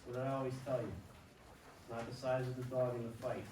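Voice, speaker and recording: A man's voice: mid-range voice, little pitch movement, fast, natural voice. Man playing solemn father in cavernous echo. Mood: solemn, urging. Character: solemn older father advising his son, loving and firm. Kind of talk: staged play reading. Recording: very echoey hall, distant mic, faint noise.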